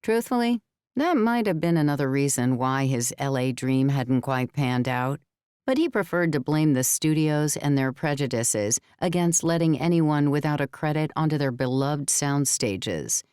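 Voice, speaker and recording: A woman's voice: sits low, expressive, normal speed, a little mellow, smooth, no echo, studio quality. A woman narrating scripted fiction as a storyteller in a full-cast audiobook. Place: dry recording studio.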